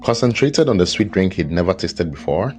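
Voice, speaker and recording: A man with an extremely deep voice narrates a folktale from a script. His delivery is measured and dramatic, in the manner of a storyteller. Faint guitar music plays behind him. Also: big pitch swings, a little mellow. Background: background music with guitar.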